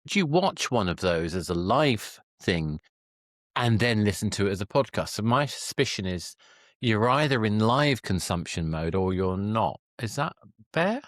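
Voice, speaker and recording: A man's voice: on the low side, sing-song, measured pace, dry recording, clean studio sound. A man hosting a podcast interview, thinking aloud in an analytical, conversational way. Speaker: podcast host.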